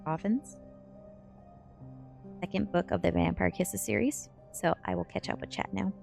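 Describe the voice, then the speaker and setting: Low-pitched woman with creaky voice, ASMR reading. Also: steady tone, moderate pace, a little mellow. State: sleepy. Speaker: streamer reading books aloud. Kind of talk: ASMR book reading and casual chat with viewers. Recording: music playing behind the voice. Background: music.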